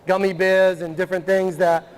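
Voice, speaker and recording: A man with a notably high voice gives a motivational talk to young people. He speaks colloquially and drops into bits of acted-out dialogue. The sound is live and clearly reverberant, as in a room. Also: little pitch movement, moderate pace. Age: adult. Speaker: motivational speaker on addiction recovery. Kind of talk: public presentation to young people, informal and conversational. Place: indoor room, speaking to an audience. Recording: echoey room, live presentation.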